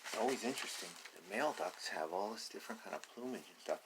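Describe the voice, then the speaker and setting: Animated man reading a picture book aloud. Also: lowish voice, fast talker, very noisy. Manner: playful, simple explanatory asides. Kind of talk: read-aloud to young children with casual asides.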